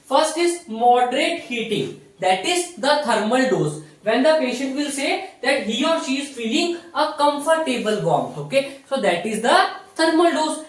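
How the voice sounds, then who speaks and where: A woman with an Indian accent giving an explanatory physiotherapy lecture in a didactic way, repeating key points with little checking tags. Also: fairly high pitch. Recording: very echoey room, distant mic.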